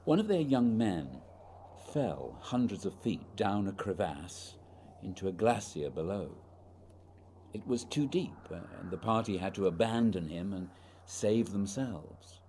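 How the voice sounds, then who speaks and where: An actor playing an earnest, haunted polar explorer in a radio play, speaking lyrically and deliberately in a husky voice. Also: male voice, fairly low pitch, very animated, warm tone, slight background noise. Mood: storytelling. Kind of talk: poetic radio drama acting.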